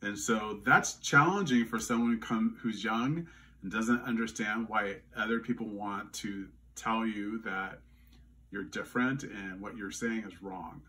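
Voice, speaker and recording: A man from Louisiana with no regional accent, giving earnest, measured answers in an interview, often restarting his phrases. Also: lowish voice, bright, forceful tone, far from the mic.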